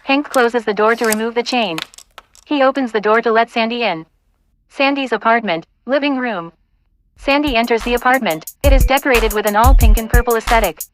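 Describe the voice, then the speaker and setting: A woman's voice: fairly high pitch, brisk, soft background music. A woman reading scripted narration and stage directions for an audio play.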